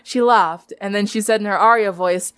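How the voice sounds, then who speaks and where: A woman reading a story aloud as narrator, in expressive scripted narration. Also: medium pitch, moderate pace, smooth.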